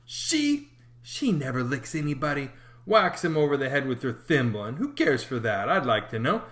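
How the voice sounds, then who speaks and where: A man reading aloud and voicing a mischievous boy who coaxes and bargains in a lively, persuasive way. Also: mid-range voice, moderate pace. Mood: wheedling.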